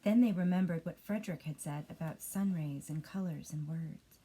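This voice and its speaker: A woman reading a children's bedtime story as an expressive storyteller. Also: deep voice, moderate pace, slightly rough.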